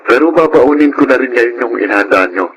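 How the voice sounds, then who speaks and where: An actor playing a polite, considerate young son in a Filipino radio drama, respectful and earnest. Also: male voice, mid-range voice, lively intonation, a little fast, fairly bright tone, husky, rough recording.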